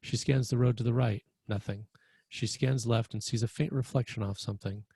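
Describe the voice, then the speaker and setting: A man's voice: mid-range voice, fairly even intonation, fairly quick, creaky, mellow, slightly rough, no room sound. A man reading screenplay stage directions as narrator, in a plain, descriptive manner.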